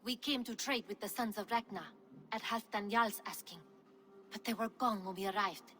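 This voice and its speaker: A woman's voice: medium pitch, normal speed, a bit bright, natural voice. An actress playing a tough, resourceful woman merchant with a direct, assertive way of speaking in a game. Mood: defiant, frank.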